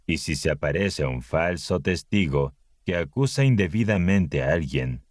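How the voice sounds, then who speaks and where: A man narrating scripture as a scripted audiobook, in a measured, solemn way with deliberate breaks between phrases. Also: sits low, animated, no room sound, clean studio sound.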